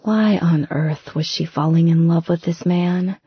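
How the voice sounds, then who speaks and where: A woman's voice: on the low side, a little slow, breathy edge, no echo, dull audio. A woman reading a romance novel aloud as scripted audiobook narration.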